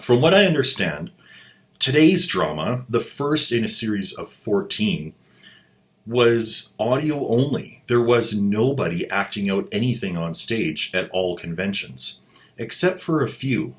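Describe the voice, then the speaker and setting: Low-voiced man, sarcastic host roasting an old drama. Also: expressive, a little slow. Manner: sardonic, mocking, playful asides. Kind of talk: sarcastic commentary.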